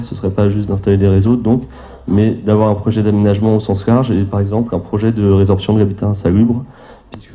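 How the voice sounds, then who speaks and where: A man giving a formal conference presentation with slides as a public agency representative, speaking haltingly with frequent hesitations and repeated words. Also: on the low side, warm tone.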